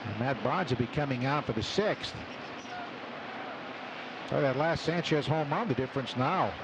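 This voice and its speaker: A man's voice: mid-range voice, expressive, normal speed. A man calling baseball play-by-play live on the radio from a stadium booth. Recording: radio broadcast over loud ballpark background noise.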